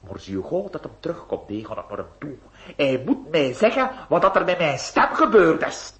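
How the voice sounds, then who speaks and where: An actor playing an excitable, bewildered male notary in a theatrical radio play, exclaiming and repeating himself when alarmed. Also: fairly high pitch, moderate pace, background noise. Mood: pleading, worried.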